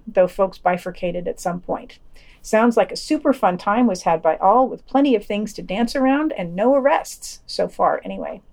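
A woman's voice: mid-range voice, a little mellow. A woman hosting a podcast, reading a scripted news digest with quotes and commentary in a dry, wry manner.

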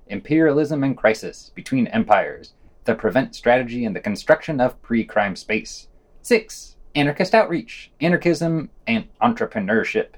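A man reads a scripted podcast news roundup in a medium-pitched voice that swings widely in intonation. His delivery is sardonic and wry, treating listings and quotations with editorial bite. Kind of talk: scripted news summary reading.

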